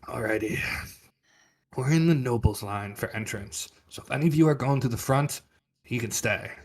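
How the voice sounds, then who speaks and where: A man's voice: medium pitch, moderate pace, darkish tone, lightly acted. A man voicing a polite, businesslike coachman in a fantasy tabletop game, with courteous servant-like address. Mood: brisk, matter-of-fact.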